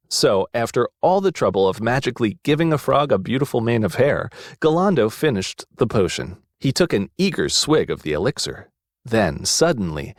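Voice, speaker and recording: A man's voice: medium pitch, no echo, clean studio sound. A man reading a scripted story for children as a narrator.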